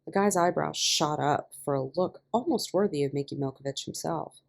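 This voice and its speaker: A woman reading a story aloud as a podfic narrator, with expressive scripted delivery. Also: low voice, moderate pace, gravelly. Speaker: podfic reader.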